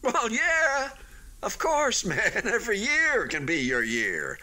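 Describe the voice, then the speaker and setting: An actor in a radio play voicing an unfinished hotel building, a wistful but stubbornly hopeful man who talks in a halting, conversational way. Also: sits high, huge pitch swings, sharp tone, heavily acted. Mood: upbeat, hopeful. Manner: halting, conversational, with sighs and emphatic swings.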